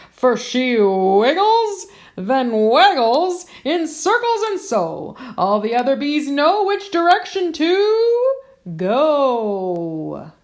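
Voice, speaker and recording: A woman reading a children's picture book aloud in a theatrical, emphatic, playful way. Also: high-pitched.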